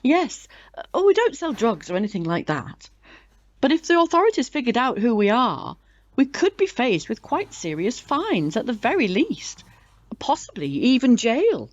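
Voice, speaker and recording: A woman's voice: fairly high pitch, sing-song, moderate pace, dry recording, dull audio. A woman playing a chatty, proud older British club chairwoman in an audio drama, genteel and theatrical in manner. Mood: conspiratorial.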